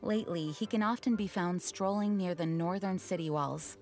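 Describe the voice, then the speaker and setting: A woman playing a dutiful, diplomatic knight commander in a fantasy game, speaking formally and earnestly in measured phrases. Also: fairly low pitch, darkish tone. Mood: composed, earnest. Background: game music.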